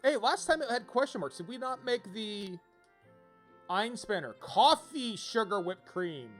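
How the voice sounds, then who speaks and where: A man with a fairly high voice chats through a game on a livestream. He rambles casually, thinking aloud, with music playing behind him. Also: very animated, measured pace, a bit bright. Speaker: game streamer.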